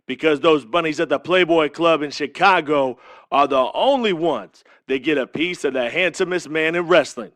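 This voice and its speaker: Casting: male actor as arrogant eighties wrestling villain, showy and taunting, higher voice, very dry studio sound. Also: moderate pace, a bit bright, dry recording, lightly acted. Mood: cocky boasting. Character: cocky, boastful 1980s pro-wrestling pretty-boy villain. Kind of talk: wrestling promo in an audio drama.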